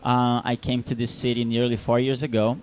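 A man's voice: mid-range voice, flat delivery, a little fast. A young Brazilian man with a Brazilian Portuguese accent, a film director answering interview questions, hesitant with many fillers and restarts.

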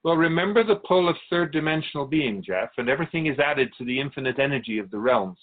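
A man playing a wise, gentle, cryptic otherworldly keeper of souls in a radio drama, speaking in a measured, courteous, philosophical way. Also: mid-range voice, expressive, narrow, tinny audio, natural voice. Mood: cryptic, teaching.

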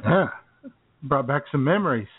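A man with a medium-pitched voice co-hosting a comedy radio show. He chats in an easygoing, slightly halting way. The audio is thin, like a phone line. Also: expressive, soft background music. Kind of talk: casual comic radio banter. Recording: narrow, phone-like radio sound.

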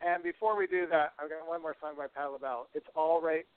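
A man with a clear, smooth voice hosts a podcast in an effusive, conversational style. The sound is dry and thin, like a phone line. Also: fairly high pitch, fairly even intonation, brisk, no room sound. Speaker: podcast host. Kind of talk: conversational hosting.

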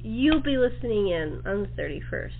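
A woman's voice: medium pitch, big pitch swings, normal speed, gravelly, slight background noise. Woman hosting over a thin phone-like line. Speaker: talk show host. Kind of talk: casual interview chat. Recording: thin, band-limited phone-like sound.

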